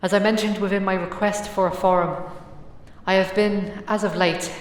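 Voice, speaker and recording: A woman's voice: medium pitch, fairly even intonation, lightly acted. Woman acting a haughty fantasy seer mother. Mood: formal, grave. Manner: formal, condescending, measured. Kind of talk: audio drama acting.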